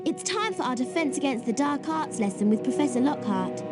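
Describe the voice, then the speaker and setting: An actress playing a brisk, bookish young schoolgirl witch in a video game. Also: high-pitched, lively intonation, over loud music. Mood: eager.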